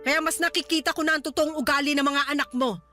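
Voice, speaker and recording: A stern Filipino grandmother for radio drama. Her voice is high and piercing, with a scolding, dismissive manner. Faint music plays underneath. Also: steady tone, quick pace, bright, forceful tone. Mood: exasperated scolding. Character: stern, short-tempered grandmother scolding her grown daughter.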